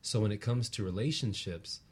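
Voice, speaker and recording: A man with a fairly deep, husky voice talks as a guest teacher. He explains spiritual ideas earnestly and conversationally, often ending a thought with a quick tag question to check the listener follows. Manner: earnest, explanatory, often tags phrases with "right?". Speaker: guest, relationship practitioner and teacher.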